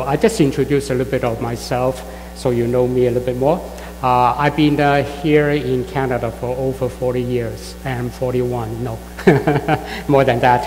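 A middle-aged man with a Chinese accent, a guest pastor whose first language is Fujian, introducing himself to a congregation in a halting way with many fillers. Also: mid-range voice, darkish tone. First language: Fujian (Min Chinese). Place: church sanctuary. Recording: long church reverb, faint music.

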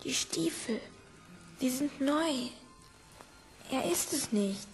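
Child role: sleepless doubter, high and slow, radio play dialogue. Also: female voice, lively intonation, over music, heavily acted. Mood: doubtful. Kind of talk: audio drama dialogue.

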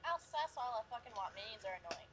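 A woman from Canada in animated group banter, ranting indignantly and swearing freely. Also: on the high side, fast talker, a crowd in the background. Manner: indignant, foul-mouthed ranting. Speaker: podcast panelist. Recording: faint background noise.

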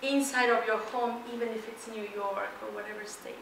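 Energetic woman speaker in an echoey hall. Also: on the high side, normal speed, creaky, distant mic, background noise. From Mexico. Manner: energetic, emphatic, laughs often, walks while talking. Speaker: keynote speaker. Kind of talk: motivational presentation to students. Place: large lecture hall. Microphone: far room pickup.

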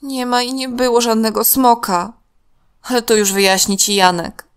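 A woman's voice: a little high, steady tone, moderate pace, clear, resonant tone, pitched above the performer's own voice, close to an everyday voice. A woman voicing a bossy, upset young girl in a children's story, speaking indignantly and emphatically. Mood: firm.

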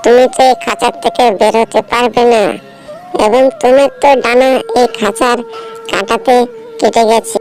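A woman's voice: fairly high pitch, fairly even intonation, moderate pace, darkish tone, faint music behind, lightly acted, low-quality recording. A woman voicing a learned, kindly male jackal in a children's cartoon fable, speaking earnestly and reassuringly.

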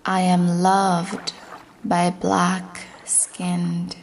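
A woman's voice: lowish voice, completely flat delivery, slight background noise. A woman leading a guided meditation with affirmations, speaking in a soothing way that at times drops toward a whisper. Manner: slow, soothing, breathy near-whisper at times.

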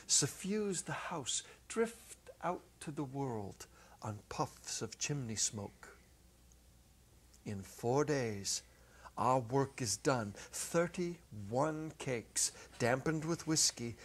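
A middle-aged man reads a story dramatically. His pitch is medium, his pace is slow and deliberate, and he stretches words for theatrical effect. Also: slightly rough. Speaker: story reader. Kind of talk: dramatic literary reading. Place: indoor set with holiday decor. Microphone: clip-on lapel mic.

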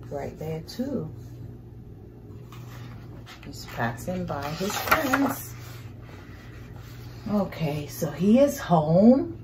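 A woman's voice: medium pitch, dark tone, slight background noise, low-quality recording. A middle-aged woman telling a picture-book story to young children, expressively, with exclamations and questions. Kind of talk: children's picture book read-aloud, improvised narration with questions. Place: small indoor living room, seated on a couch.

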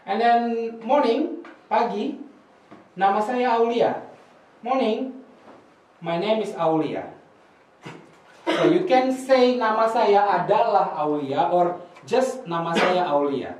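Man, young, high voice, patient teacher, distant echoey recording. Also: darkish tone. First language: Indonesian. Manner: slow, patient explaining, repeats words for students. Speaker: language teacher. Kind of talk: classroom language lesson. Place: small room with projector screen. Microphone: far camera. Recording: echoey room recorded from afar.

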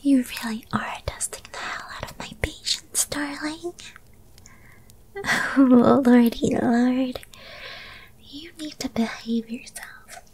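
A woman voicing a possessive, lovestruck young witch girlfriend in an ASMR roleplay, in a breathy, cooing and controlling manner. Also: high voice, takes it slowly, darkish tone, slight background noise. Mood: scolding.

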